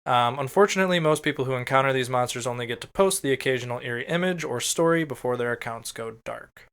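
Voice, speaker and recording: Man, smooth clear voice, podcast co-host; relaxed explainer delivery, casually profane. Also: medium pitch, fast. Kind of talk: explainer read from notes mixed with casual banter.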